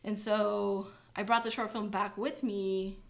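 A woman's voice: medium pitch, level tone, measured pace, gravelly. Chatty young woman, lots of fillers. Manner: chatty, many fillers, laughs when uncomfortable. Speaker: guest. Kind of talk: casual interview chat.

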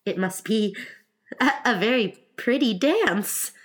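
A woman's voice: sits high, big pitch swings, a little slow, voice pushed up, exaggerated voice. A well-mannered little storybook girl, acted by a woman. She sounds shy but curious and speaks with careful politeness. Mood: timid, polite. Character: polite, timid, curious young girl Alice.

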